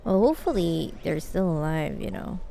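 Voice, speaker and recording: A woman's voice: deep voice, sing-song, normal speed, vocal fry, mellow, soft background music. A woman game streamer giving casual live commentary and reading the game's text aloud.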